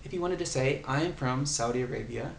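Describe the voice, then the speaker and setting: A man's voice: medium pitch, a little fast, creaky. A man giving a casual language lesson, patiently explaining and repeating example phrases. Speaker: language tutor. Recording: echoey room, faint ambient noise.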